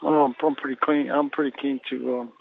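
A Samoan-born boxer being interviewed, talking haltingly and filling pauses with "you know". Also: male voice, mid-range voice, little pitch movement, gravelly, slight background noise. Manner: halting, leans heavily on "you know" fillers. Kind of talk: phone interview. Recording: tinny phone line.

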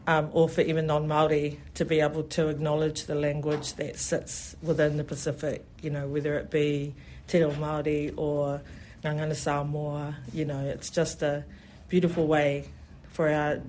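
New festival organiser, mid-pitched and enthusiastic, a rambling interview guest. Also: little pitch movement, normal speed, darkish tone, slight background noise. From New Zealand. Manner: enthusiastic, rambling, many "you know" fillers. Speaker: festival event specialist. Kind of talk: radio interview.

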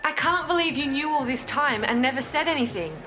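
A woman's voice: sits high, brisk, sharp tone, faint music behind. An actress playing a betrayed, anxious but candid British bride in her twenties, in a naturalistic audio drama. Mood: incredulous relief. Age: 20s. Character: betrayed young bride, long anxious, now bluntly candid.

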